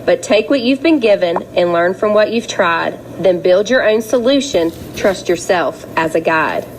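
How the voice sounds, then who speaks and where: A woman's voice: on the low side, lively intonation, normal speed, a little hiss or hum, close to an everyday voice, rough recording. A woman voicing a kind, sparkly female fish, a wise friend giving encouraging advice in a children's storybook.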